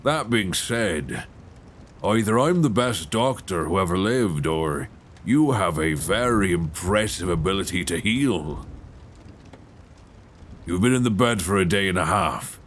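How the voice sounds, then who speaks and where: A man playing a gentle but probing, folksy 19th-century lighthouse keeper in an intimate ASMR suspense roleplay, soft-spoken, halting and reassuring, close on a binaural mic. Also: lively intonation. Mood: calm, probing. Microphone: close binaural microphone. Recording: faint low rumbling background noise.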